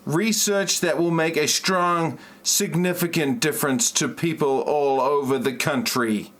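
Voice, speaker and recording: A man playing an earnest, awkward middle-aged lover in an amateur parody audio play, with deliberately stilted delivery. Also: on the high side, fairly even intonation, a bit bright. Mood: solemn.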